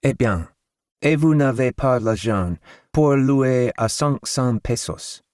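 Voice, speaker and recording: A man voicing an older French landlady who questions her new tenants slowly and warily. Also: medium pitch, expressive, a little mellow, no room sound, clean studio sound, voice pushed up. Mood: doubtful. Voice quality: careful, halting French speech.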